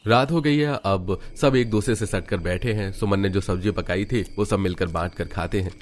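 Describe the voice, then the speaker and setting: A man reading scripted Hindi narration for an animated family story as its narrator. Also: low voice, normal speed, slight background noise. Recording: music behind the narration.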